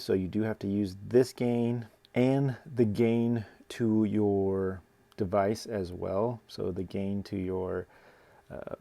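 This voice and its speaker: A man with a lowish, fry-heavy voice presents equipment in a casual review style. He explains step by step in plain, informal phrasing, often repeating himself. The sound is dry and close, with little room in it. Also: dark tone, dry recording. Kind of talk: casual product demonstration.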